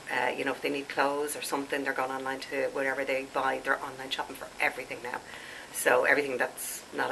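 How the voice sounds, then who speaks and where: A woman's voice: a bass voice, pushed and bright, slight background noise, low-quality recording. A woman in her late forties, congested and stuffed up, chatting and rambling to camera as a skincare vlogger and laughing at herself. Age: late 40s. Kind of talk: casual chat to camera.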